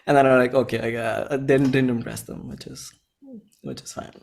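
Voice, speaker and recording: A man's voice: mid-range voice, level tone, normal speed, gravelly, a little mellow. A man, a musician talking informally and thinking aloud in an interview, often saying "like". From India. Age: 20s. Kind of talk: casual interview chat.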